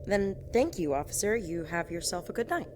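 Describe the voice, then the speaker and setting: Casting: lone night-driving woman in radio drama, uneasy, reflective, animated delivery over road noise. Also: fairly low pitch, a little fast. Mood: wary, polite. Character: uneasy woman driving alone at night, recounting strange events. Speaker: lead actress narrating in first person. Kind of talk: dramatic audio fiction monologue and dialogue. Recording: clear vehicle and road ambience noise.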